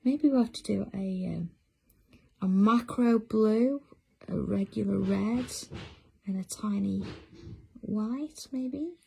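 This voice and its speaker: A woman's voice: medium pitch, takes it slowly, gravelly, pauses often, warm tone, husky, low-quality recording. A middle-aged woman, hosting a craft livestream in casual chat and answering viewer comments as she works. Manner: chatty, reads out viewer comments while working.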